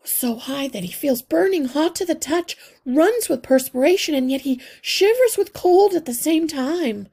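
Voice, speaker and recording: A woman's voice: high-pitched, expressive, moderate pace, gravelly, few pauses, mellow, pitched above the performer's own voice. A woman voicing an anxious, polite mother mouse who speaks in an earnest, worried way for a children's storybook. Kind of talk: children's storybook read-aloud.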